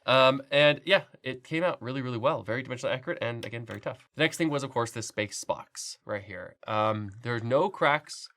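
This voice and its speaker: A man's voice: medium pitch, fairly quick, few pauses, fairly bright tone. A man hosting a casual product review while congested with a cold, his voice nasal, chatty and loose with fillers and restarts. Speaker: 3D printing channel host.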